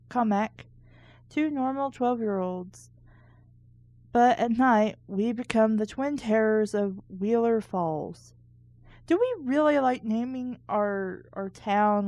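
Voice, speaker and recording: A woman with a fairly high, soft-edged mellow voice reads a book aloud at a leisurely pace. She hosts casually and cuts in with snarky, critical comments. The sound is dry, with no room echo. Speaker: host of a book reading show.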